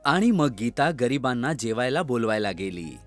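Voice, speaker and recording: A man's voice: medium pitch, sing-song, moderate pace, dry recording, soft background music. A man narrating a scripted children's story as the narrator.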